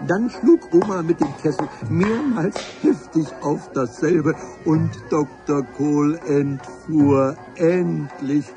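An actor playing the wry, literate narrator of an adventure radio play, telling it in an ornate, deliberate way. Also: male voice, fairly high pitch.

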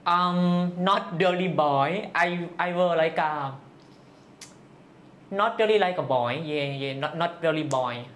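An interview guest from northeast Thailand with a Thai accent, giving personal answers in halting, simple non-native English. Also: medium pitch, a bit bright, a little hiss or hum.

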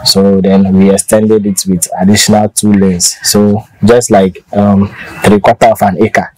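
A man's voice: lowish voice, little pitch movement, a little slow, a little mellow, soft background music, poor audio. A man in his thirties from Ghana, a farmer telling his story in a casual interview, easygoing and restarting often, recorded outdoors under a thatched shelter on a lapel mic.

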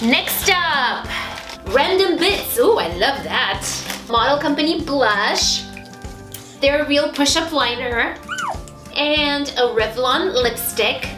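A woman's voice: sits high, animated, slow pace, echoey room, over music. A woman, gushing with squeals as she unboxes beauty products in a vlog. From Romania. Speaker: beauty vlogger. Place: small bright indoor home studio.

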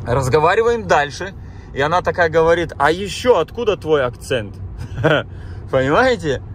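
A man's voice: on the high side, big pitch swings, normal speed, a little hiss or hum. A man born in Kazakhstan who has lived in Germany, chatting casually with his viewers while driving, often exclaiming at what he sees. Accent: Russian speaker from Kazakhstan and Germany. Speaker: vlogger. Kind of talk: casual driving vlog chat. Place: car driving through town.

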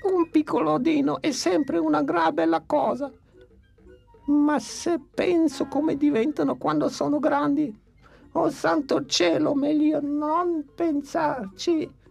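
A man's voice: very high voice, steady tone, slow pace, vocal fry, darkish tone, faint music behind, full character voice. An actor dubbing a cheeky, impertinent old male bird in a cartoon, chattering and fussing.

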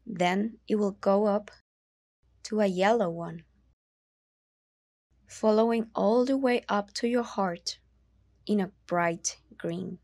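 Female meditation narrator, mid-pitched, very measured and soothing, slow delivery with room to breathe. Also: darkish tone, no room sound, studio quality. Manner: soothing, slow, measured instructions with long pauses. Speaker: meditation guide.

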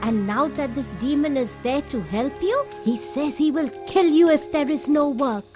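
A woman voicing a shrewd, calm, gently scolding wife and mother in a children's cartoon, with a knowing, sing-song manner. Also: high voice, normal speed, over music. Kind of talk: animated children's story acting.

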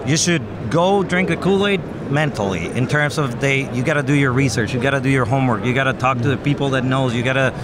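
A man's voice: mid-range voice, fast talker, hardly any pauses. A young man, an agronomist guest, explaining earnestly with everyday analogies into a desk mic at a trade show booth. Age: young adult. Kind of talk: explanatory interview answers. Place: busy expo hall booth. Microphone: desk microphone on stand. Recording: background noise of trade show floor.

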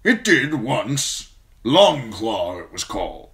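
A man voicing a blunt, gruff old military lord who gives brusque orders, in an audiobook reading. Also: mid-range voice, sing-song, slow, a bit bright, voice pushed up, exaggerated voice.

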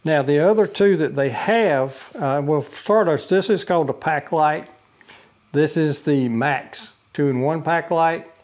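Older man, fairly high voice, casual product demo, uhs and restarts, living room, camera mic. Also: measured pace, darkish tone. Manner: plain, folksy, with frequent uh and restarts. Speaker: product reviewer. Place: living room with a brick wall, indoors.

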